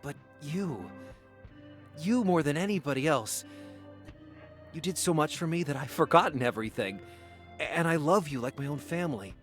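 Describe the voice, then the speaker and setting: An actor playing an eager, awkward self-made merchant of peasant birth in a visual novel, earnest and halting, sometimes stammering. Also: male voice, fairly high pitch, animated, fairly bright tone, soft background music. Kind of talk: naturalistic visual novel acting.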